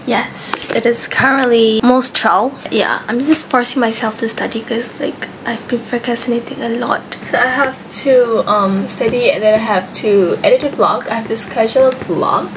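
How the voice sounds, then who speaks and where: Chatty woman vlogger, high voice. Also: moderate pace, few pauses, noisy background. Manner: chatty, mixes English and Malay, giggly. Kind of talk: casual vlog chat. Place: bedroom at home. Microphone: handheld camera close up.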